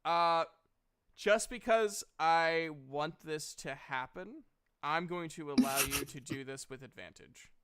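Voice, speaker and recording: A man's voice: on the high side, slow pace, very noisy. A man casually narrating and refereeing a tabletop game as game master, with a self-conscious, apologetic manner.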